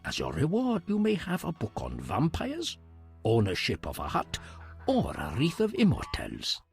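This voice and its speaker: An actor playing a solemn, reverent hermit in a fantasy video game, with formal, measured phrasing and a husky voice. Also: male voice, mid-range voice, huge pitch swings, faint music behind. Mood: solemn, instructive.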